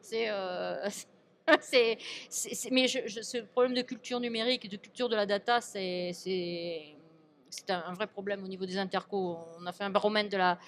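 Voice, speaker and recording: A woman speaking as an elected local official in an informal interview, halting and often repeating and restarting her words. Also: medium pitch, steady tone, a little hiss or hum. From France.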